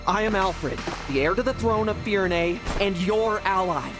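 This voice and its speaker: A man's voice: sits high, normal speed, over music, natural voice. A man voicing a gallant young crown prince in a video game cutscene. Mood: proud, firm.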